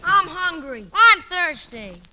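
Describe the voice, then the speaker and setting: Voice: extremely high pitch, very animated, slow, pushed and bright, raised voice, a little hiss or hum, exaggerated voice. A performer playing a whiny, demanding little American boy in a vintage radio comedy. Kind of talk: 1940s-50s American radio comedy acting.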